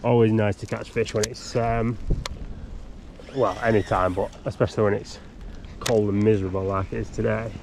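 Upbeat man with a gravelly voice. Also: lowish voice, flat delivery, moderate pace, background noise. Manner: upbeat, chatty, talking to camera. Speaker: fishing vlogger. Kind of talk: casual outdoor commentary. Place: windy riverbank outdoors. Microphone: action camera on body or tripod.

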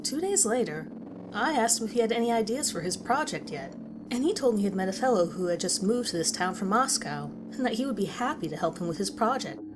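A woman plays a concerned teacher recounting an unsettling horror story. The delivery is dramatic and swings widely in pitch, over clearly audible background ambience. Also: sing-song, fast, noisy background, close to an everyday voice. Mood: uneasy, reflective. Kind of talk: dramatic scripted narration.

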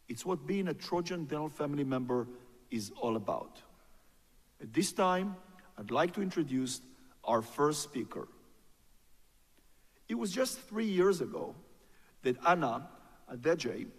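A middle-aged man giving a measured, formal scripted speech as host of a ceremony, at a podium microphone. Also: a little high. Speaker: ceremony host introducing speakers. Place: large auditorium stage at a podium. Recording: echoey auditorium with long reverb.

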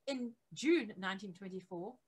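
A middle-aged woman reading a biography aloud as scripted narration, measured and with emphasis on key phrases. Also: mid-range voice, lively intonation, breathy edge. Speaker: reader of a church history book. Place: attic home office, indoors.

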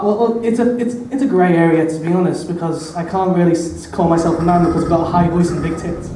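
An eighteen-year-old man doing a stand-up set, who stutters and repeats words, nervous and self-mocking, chuckling at his own jokes. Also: high voice. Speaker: stand-up comedian. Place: small dark club stage. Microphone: handheld mic, filmed from far in the room. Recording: echoey club room taped from far off, loud room noise.

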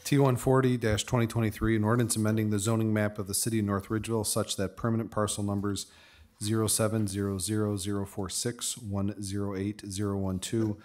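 Low, flat-voiced male clerk reading. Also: brisk. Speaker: council clerk. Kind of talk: formal reading aloud of roll and ordinance. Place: mid-sized council chamber indoors.

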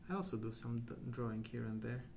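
A man speaking softly and hushed in an unhurried, halting ASMR ramble, often trailing off. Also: medium pitch, expressive. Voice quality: soft, hushed. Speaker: ASMR video host. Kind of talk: soft-spoken ASMR rambling. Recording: clear background noise.

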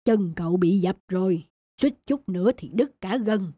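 A woman voicing a kindly old village elder in a cartoon folk tale, caring and unhurried. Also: medium pitch, lively intonation, warm tone, natural voice. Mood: kindly, reassuring.

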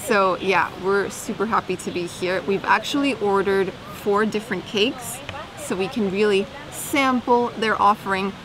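A woman's voice: medium pitch, fairly even intonation, moderate pace, crowd noise. A young woman vlogging to camera on an outdoor cafe terrace, chatting and tasting food. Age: young adult. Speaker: travel vlogger. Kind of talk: casual chat to camera. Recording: noisy outdoor recording.

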